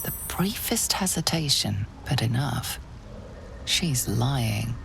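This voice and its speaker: A woman performing as a grave, hushed narrator of a fantasy game, measured, intimate and ominous. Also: a bass voice, lively intonation, creaky, slight background noise, heavily acted. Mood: hushed, knowing. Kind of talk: scripted second-person game narration.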